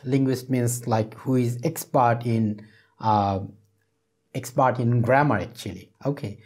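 Middle-aged male English teacher, mid-range pitch, explains and repeats phrases for learners. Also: a little mellow. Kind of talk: explanatory spoken English lesson. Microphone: lapel microphone.

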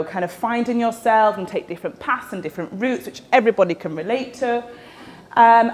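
A woman's voice: on the high side, a little mellow. A young woman with a West Yorkshire accent, giving a chatty talk at a meetup into a handheld mic in a large event space. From West Yorkshire, England. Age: young adult. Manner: chatty, with many fillers. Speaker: speaker at a tech meetup. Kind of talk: casual presentation talk. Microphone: handheld microphone.

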